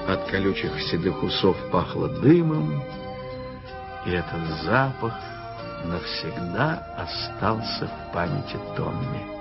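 A man narrating a studio radio play in a measured, expressive storytelling way. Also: sits low, vocal fry. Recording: dull old radio play recording with music.

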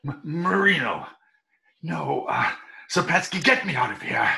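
An actor playing a pompous, indignant jailed swindler in a radio mystery, with flustered, mock-formal diction. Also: male voice, on the high side, expressive, a little slow, creaky, sharp tone, heavily acted. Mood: flustered alarm. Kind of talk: old-time radio play acting. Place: small home room, video call. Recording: roomy video call with faint noise.

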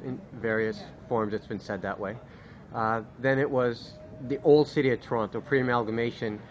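A man's voice: mid-range voice, fairly even intonation, moderate pace, highs cut off, slight background noise. A man in the audience asking a panel a question, musing his way toward it.

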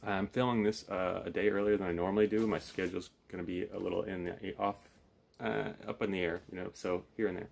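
A man's voice: deep voice, fairly even intonation, a little fast, gravelly, slight background noise. Man, thirties, casual puppeteer host, easygoing straight man, off-the-cuff chat, recorded at home at arm's length. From lives in Florida, United States. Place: small home room, indoors.

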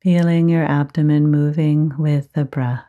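Slow, low-voiced woman guiding meditation. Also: flat delivery, highs cut off. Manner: gentle, measured instruction with frequent pauses. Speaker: meditation teacher. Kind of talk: guided meditation.

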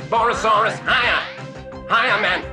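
A man's voice: high-pitched, normal speed, over loud music, voice pushed up, close to an everyday voice. A man voicing a snooty, bossy computer that gives imperious, clipped commands in a children's story. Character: snooty bossy robot computer barking orders.

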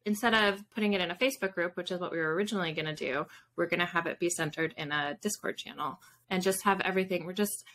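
A woman's voice: fairly low pitch, fast, a bit bright, slight background noise. A woman in her thirties chatting casually as an interview guest, informal and prone to wandering off and rephrasing. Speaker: author and podcast guest. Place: indoor room with bookshelves, on a video call.

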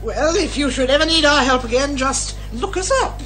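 Brisk, high-voiced British lady sleuth. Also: bright, forceful tone, a little hiss or hum. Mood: brisk, satisfied. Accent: British English. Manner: brisk, theatrical, old-fashioned turns of phrase. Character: brisk, bossy, sharp-witted amateur woman detective. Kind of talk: 1980s British radio comedy drama acting.

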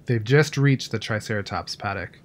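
Man acting a calm, frank technician. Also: fairly low pitch, normal speed, slight background noise, natural voice. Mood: calm, reproving. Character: calm, mildly reproving male control room technician. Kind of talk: audio drama acting.

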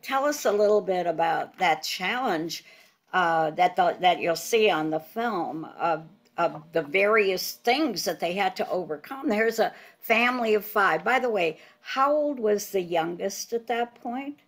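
A woman's voice: medium pitch, expressive, a little slow. An older woman whose first language is Hungarian, interviewing a guest over a video call from her home. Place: home living room. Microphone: laptop webcam video call.

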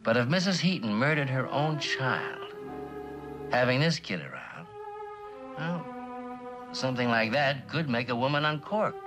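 A man's voice: medium pitch, very animated, measured pace, long pauses, music in the background. An actor playing a shrewd, suspicious man in a vintage television suspense drama, speculating in clipped slang. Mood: suspicious, calculating.

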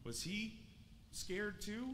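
A man's voice: sits high, drawn-out words, fairly bright tone. A man in his thirties giving a children's sermon in a church sanctuary, talking in a friendly way, asking questions and restarting often. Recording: church hall reverb, faint room noise.

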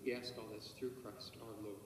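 A male priest saying Mass at the altar in a measured, solemn tone, heard from a distant camera in a large church. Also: fairly low pitch, little pitch movement. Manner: measured, solemn liturgical recitation, quiet murmured private prayers. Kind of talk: celebrating Mass, reciting liturgical prayers. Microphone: far camera. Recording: echoey church from far off, faint hiss.

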